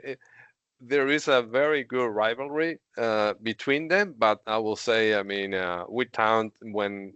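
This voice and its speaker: A man's voice: lowish voice, a bit bright, clean tone, no room sound, lo-fi sound. A middle-aged man from Argentina, a podcast guest who tells stories in casual chat and laughs often. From Argentina, Patagonia. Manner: laughs often while telling stories, many fillers.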